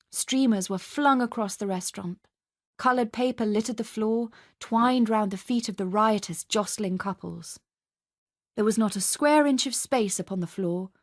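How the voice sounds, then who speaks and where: A woman's voice: mid-range voice, no room sound, clean studio sound. A woman reading a dramatic scripted story as narrator. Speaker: narrator and reader.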